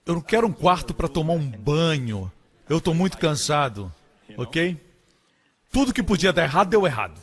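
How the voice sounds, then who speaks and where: Male sermon dubber, slightly high voice, emphatic preaching delivery. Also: very animated, normal speed. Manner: emphatic preaching, leaps higher and louder on key phrases. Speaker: voice-over for a preacher.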